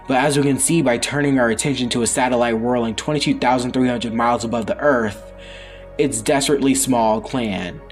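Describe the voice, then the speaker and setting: A man's voice: mid-range voice, fairly even intonation, brisk. Man narrating dramatically over music. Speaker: reader of a comic read-along.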